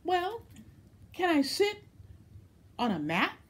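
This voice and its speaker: Woman doing a high, fussy little storybook frog. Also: huge pitch swings, slow, heavily acted. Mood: hopeful, questioning.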